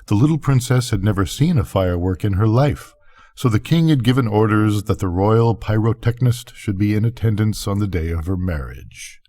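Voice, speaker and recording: An older man narrating a bedtime story, reading gently and expressively. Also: lowish voice, animated, moderate pace.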